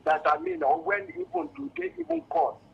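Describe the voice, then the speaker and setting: A man's voice: very high voice, huge pitch swings, normal speed, gravelly. A Nigerian man with a Nigerian accent phoning a radio show to give his opinion, earnest and insistent, often repeating words as he goes. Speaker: radio phone-in caller. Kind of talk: spontaneous opinion on a call-in show. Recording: muffled, lo-fi phone call to radio.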